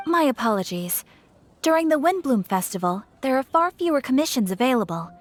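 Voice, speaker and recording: A woman's voice: high-pitched, big pitch swings, brisk, faint music behind. A woman voicing a polite, composed guild receptionist in a video game, formal and courteous. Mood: apologetic. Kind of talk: video game character acting.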